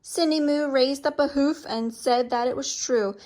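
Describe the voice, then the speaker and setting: Higher-voiced woman reading a children's story. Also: normal speed, darkish tone. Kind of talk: expressive picture-book read-aloud.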